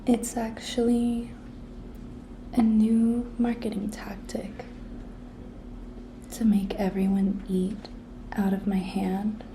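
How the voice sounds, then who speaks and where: A woman acts out a coy, pushy young female intern in an ASMR roleplay. She whispers softly and slowly, with a creaky edge, coaxing and teasing her boss. Her tone is very mellow and intimate. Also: mid-range voice, little pitch movement, slight background noise. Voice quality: breathy whisper, soft-spoken.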